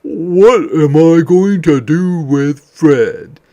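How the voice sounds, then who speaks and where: A man's voice: fairly high pitch, very slow, mellow, dull audio, voice pushed up. A man voicing an exasperated father in a picture book, fed up with a troublesome dog.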